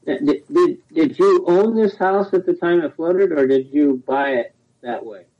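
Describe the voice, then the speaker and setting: A man's voice: on the high side, a little slow. Matter-of-fact man on a tinny phone line. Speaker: hearing officer. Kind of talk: procedural explanation.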